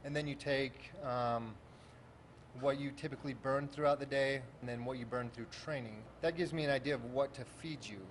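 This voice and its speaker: A man's voice: medium pitch, steady tone, normal speed, a little hiss or hum. A man in his thirties who runs a meal prep business, explaining it matter-of-factly to interviewers on a handheld mic in his shop. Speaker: meal prep company owner. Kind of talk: interview answers.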